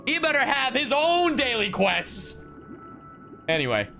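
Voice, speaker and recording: A man giving casual game reaction commentary, brash and expressive, with lots of swearing and slang. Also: very high voice, a little slow. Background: game music.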